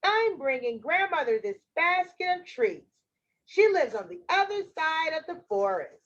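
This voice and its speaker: 여자가 연기하는 높고 순진한 빨간 모자 소녀. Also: female voice, sits high, very animated, measured pace, a bit bright, highs cut off, voice pushed up, full character voice. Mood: polite, cheerful. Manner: sweet, earnest storybook child.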